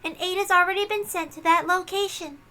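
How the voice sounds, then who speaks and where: A woman's voice: very high voice, steady tone, fairly quick, bright, forceful tone, pitched above the performer's own voice. A woman voicing a prim, ruthless young female inquisition officer, formal and coldly dutiful, in a visual novel read-aloud. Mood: formal report.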